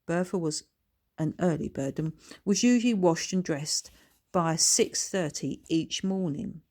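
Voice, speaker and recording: A female author reads a children's story aloud. Her voice sits slightly low for a woman, in a scripted storytelling style. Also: a little slow, a little mellow. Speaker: author reading own book.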